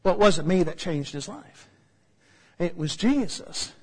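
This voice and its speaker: High-voiced american preacher guy, kinda husky, joking around mid-sermon, lots of hall echo. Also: unhurried. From United States. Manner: humorous, animated storytelling with asides. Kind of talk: storytelling sermon.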